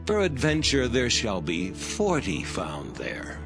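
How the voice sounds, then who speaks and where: An actor playing a humble, earnest, reverent old patriarch in a dramatized scripture reading. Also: male voice, medium pitch, wildly swooping pitch, slow pace, exaggerated voice. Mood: humble pleading. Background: music.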